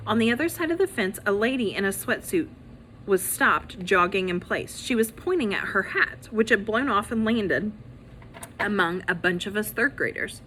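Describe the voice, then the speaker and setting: A woman reading a children's story aloud as a teacher, in an expressive, animated way that acts out the characters. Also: mid-range voice, moderate pace, slight background noise, low-quality recording.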